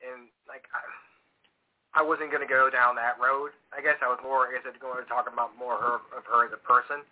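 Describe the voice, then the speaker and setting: A man joining a casual talk show, speaking haltingly with many restarts. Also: mid-range voice, little pitch movement. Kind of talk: casual podcast chat. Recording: tinny phone line with faint hiss.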